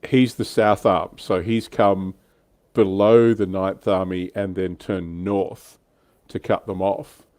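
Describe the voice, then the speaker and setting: A man's voice: sits low, level tone, takes it slowly, darkish tone, no echo. A man hosting a casual military history panel, talking in an informal, blunt way. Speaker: livestream host.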